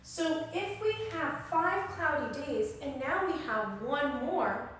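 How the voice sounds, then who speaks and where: High-voiced woman teaching in an echoey room. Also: animated, slow, a bit bright, a little hiss or hum. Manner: encouraging, instructive, prompting listeners to respond. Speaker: early-grade classroom teacher leading circle time. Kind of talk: interactive children's lesson with questions, counting and pauses. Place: indoor room with strong echo. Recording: very echoey room, distant microphone.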